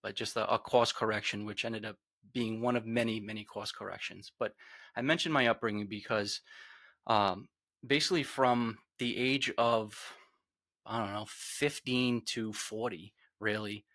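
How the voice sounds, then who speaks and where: A man's voice: fairly low pitch, fairly even intonation, normal speed, dry recording. A man in his forties with a Rhode Island accent, earnestly telling his personal story as a podcast guest. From Rhode Island, USA. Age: 40s. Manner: earnest, reflective, trails off quietly.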